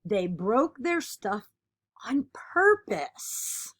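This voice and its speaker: A woman voicing an earnest, dutiful egg from a children's picture book, with expressive and deliberate storytelling. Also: a little high, full character voice.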